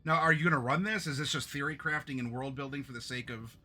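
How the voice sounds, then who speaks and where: A man hosting a tabletop roleplaying stream, chatting with his players. His voice is bright and forward. He talks loosely, trips over his own words and laughs easily. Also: mid-range voice, fast talker. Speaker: game master and show host. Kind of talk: casual pre-game chat on a stream.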